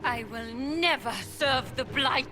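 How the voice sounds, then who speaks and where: A defiant female warrior commander in a fantasy game. Her high voice is fierce and declamatory, carried over very loud music. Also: takes it slowly. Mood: furious defiance. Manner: declamatory, impassioned. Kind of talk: dramatic fantasy game acting.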